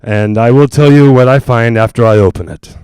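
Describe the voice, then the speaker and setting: A male actor playing an earnest doctor investigating a haunted house in a 1940s-style radio drama, reporting in a formal, measured way. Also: mid-range voice, darkish tone, clean tone, no room sound, natural voice, low-quality recording. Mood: earnest, intent.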